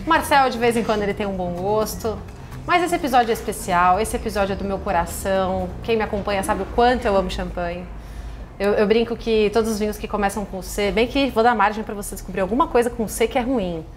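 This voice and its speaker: A woman's voice: medium pitch, fast talker, soft background music. A woman with a Brazilian accent hosting a casual podcast, chatting informally in a playful, teasing way. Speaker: podcast host.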